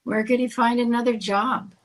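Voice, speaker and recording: An actress playing a dry, sarcastic sidekick in 1940s radio comedy, with a gravelly creak and deadpan punchlines. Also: female voice, on the high side, moderate pace, close to an everyday voice. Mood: dry sarcasm.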